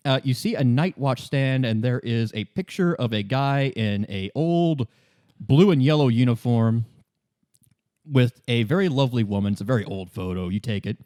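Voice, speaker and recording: A man running a tabletop game as game master, improvising his narration in a casual, halting, good-humored way. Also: medium pitch, lively intonation, darkish tone, no room sound, clean studio sound. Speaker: game master, podcast host.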